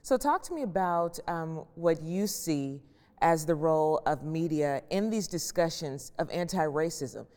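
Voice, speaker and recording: A woman's voice: low voice, animated, unhurried, darkish tone. A woman hosting a panel interview, putting questions in a conversational way. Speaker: host and moderator. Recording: long echoing reverb.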